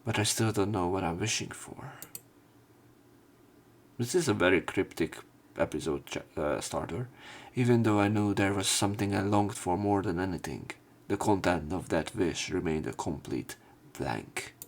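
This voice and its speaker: Creaky, low-voiced man narrating. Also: moderate pace. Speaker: let's play host. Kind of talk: narrating visual novel aloud with casual commentary.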